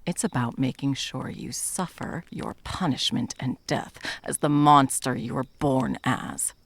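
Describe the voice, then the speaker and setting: An intense female monster hunter, acted by a woman for an ASMR roleplay. Her voice is gravelly and creaks at the edges. She speaks with deliberate, dramatic menace and open scorn. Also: a bass voice, dry recording, heavily acted. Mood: menacing, taunting.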